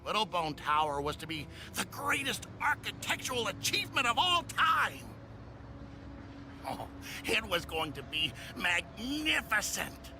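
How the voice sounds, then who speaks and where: High, wildly swooping villain. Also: male voice, very animated, background noise, full character voice. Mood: grandiose. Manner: theatrical, drawn-out, cackling delivery. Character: gloating, theatrical mad villain, grandiose and menacing. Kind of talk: game cutscene voice acting.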